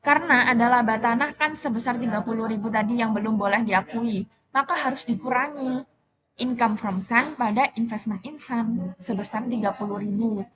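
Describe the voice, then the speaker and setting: A young adult woman giving an explanatory class presentation as a student, in a halting, self-correcting manner. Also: fairly high pitch, noisy background. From Indonesia.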